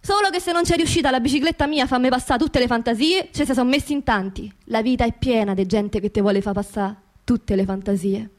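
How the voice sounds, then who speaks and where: A woman's voice: on the high side, fairly even intonation, fairly quick, few pauses, darkish tone. A woman with a Roman accent performing a colloquial, theatrical radio monologue, repeating words for emphasis. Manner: emphatic repetition of words and phrases. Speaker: stage actress performing her own monologue.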